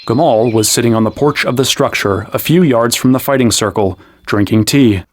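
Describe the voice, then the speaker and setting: A man's voice: mid-range voice, moderate pace, close to an everyday voice. An actor playing a young man who narrates his own story in scripted first person. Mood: measured narrating.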